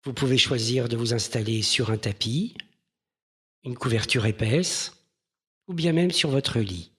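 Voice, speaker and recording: A man leading a guided mindfulness meditation in a gentle, measured manner with pauses between phrases. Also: medium pitch, breathy edge.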